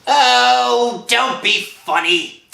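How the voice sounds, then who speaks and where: A woman's voice: fairly high pitch, drawn-out words, creaky, bright, forceful tone, pitched above the performer's own voice, heavily acted. A woman voicing a brusque, dismissive male police constable in an old-time radio drama, offhand in manner. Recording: echoey, faint music underneath.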